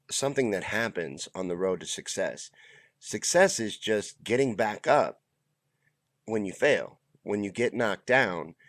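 A man's voice: fairly low pitch, no echo. A middle-aged man with a stuffy, congested voice, hosting a motivational monologue in a small home room on a close studio mic. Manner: earnest, pep-talk delivery with trailing pauses. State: congested, under the weather. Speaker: host. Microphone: studio mic close to the mouth.

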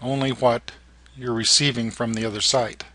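A middle-aged or older man narrating an informal tutorial in halting, short phrases. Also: medium pitch, steady tone, pushed and bright, no room sound. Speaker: amateur radio operator and narrator.

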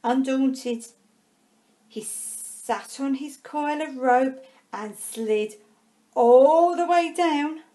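A woman with a high voice reads a storybook aloud for young children. Her delivery is slow and expressive. She stretches key words and leaves dramatic pauses between phrases. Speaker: primary school staff member reading a storybook.

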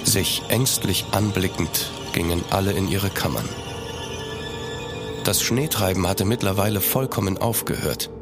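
A man playing an uneasy gentleman traveller, narrating a horror audio drama in a measured, suspenseful way in German. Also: low voice, music in the background. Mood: watchful.

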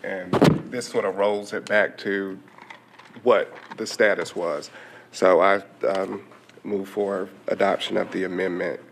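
A man on a city council speaks very slowly in a low, flat voice. His remarks are measured and full of hesitant pauses, with faint room noise behind him. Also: creaky. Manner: slow, measured, hesitant fillers. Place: council chamber.

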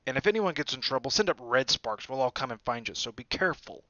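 Guy putting on a big loud gruff groundskeeper, rustic talk, bright edge, dull lo-fi sound. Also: animated, fast, no echo. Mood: gruff, stern. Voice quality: booming, broad rustic dialect.

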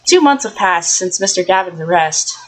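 A woman's voice: lowish voice, animated, normal speed, voice pushed down, rough recording. A woman voicing a flustered young male lawyer in a comedic game fandub, stammering and exasperated. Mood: wry, downcast.

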